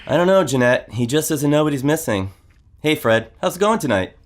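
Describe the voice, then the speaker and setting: Actor as a breezy, lively-voiced male roommate. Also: a little high, brisk, heavy background noise, natural voice. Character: breezy, teasing male roommate, unfazed by ghosts. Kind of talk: audio drama dialogue.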